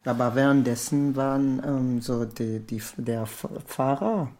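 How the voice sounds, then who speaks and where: A man's voice: mid-range voice, a little slow, darkish tone. A man retelling a story in casual, hesitant German, stopping often to search for words. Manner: hesitant, many fillers and restarts in non-native German.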